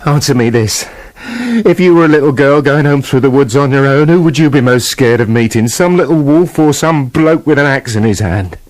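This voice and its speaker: A man's voice: mid-range voice, animated, moderate pace, hardly any pauses, darkish tone, close to an everyday voice. An actor playing a talking wolf in a radio play, a plain-speaking, aggrieved family man who questions and argues colloquially. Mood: indignant.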